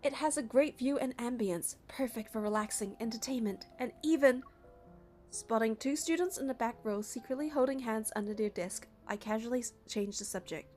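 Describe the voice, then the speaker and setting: High-pitched young woman narrating a game. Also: moderate pace, a little mellow. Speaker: playthrough narrator reading the player character. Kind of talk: story narration with casual asides. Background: music.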